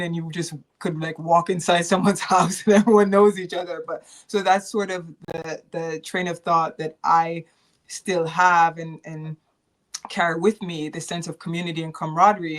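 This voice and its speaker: A woman from New York and Jamaica speaking as an interview guest, earnest and reflective, often repeating phrases as she thinks aloud. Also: on the low side. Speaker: interview guest, performing artist.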